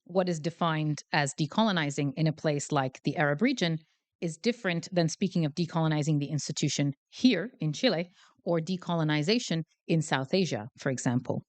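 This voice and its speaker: Low voiced woman guest lecturer, lots of ums, laid back, dry close mic sound. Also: brisk, no echo. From Canada. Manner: chatty, self-deprecating, hesitant with fillers. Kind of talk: academic lecture. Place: lecture hall before a live audience. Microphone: handheld mic.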